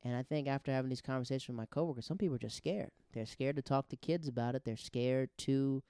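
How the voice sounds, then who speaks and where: Guy hosting, just talking casually, soft mellow tone, really dry close sound, quotes people as he goes. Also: mid-range voice, fast talker, clean tone, dry recording. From grew up abroad in the Netherlands and Japan. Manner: conversational, often acts out quoted remarks. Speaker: host. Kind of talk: casual personal monologue on social issues.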